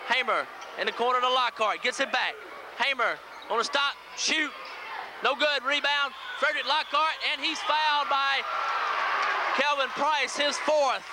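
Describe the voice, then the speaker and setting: Male local-radio sportscaster, high voice, terse basketball play-by-play, noisy old broadcast tape. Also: unhurried. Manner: clipped short phrases, excited on big plays. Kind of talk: live basketball play-by-play commentary. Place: high school gym. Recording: noisy 1980s local FM radio audio from VHS. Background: loud crowd, bouncing ball, gym noise.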